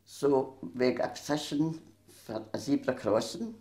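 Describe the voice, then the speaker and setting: An older woman with a gruff voice and a Glaswegian Scottish accent, chatting through her memories in an oral history interview. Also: rumbling low, lively intonation, moderate pace, dark tone, echoey room. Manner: chatty storyteller, laughs easily. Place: small indoor room. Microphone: handheld mic held near her.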